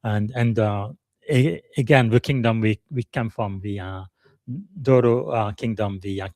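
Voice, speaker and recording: Man, podcast host, earnest, emphatic, halting pauses, lowish voice. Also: a little mellow, no echo. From Republic of the Congo. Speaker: podcast host and leadership coach. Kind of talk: live podcast monologue.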